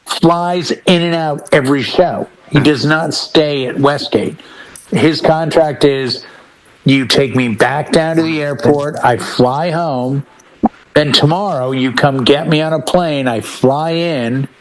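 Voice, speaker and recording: A man chatting casually as a panelist on a talk show, telling anecdotes in an animated way and acting out quoted dialogue. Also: fairly high pitch, slow, rough recording.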